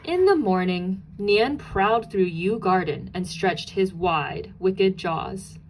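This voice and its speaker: A woman's voice: medium pitch, expressive, takes it slowly, a little hiss or hum, low-quality recording. A woman narrating a children's storybook aloud, expressively, with dramatic emphasis on key and repeated words.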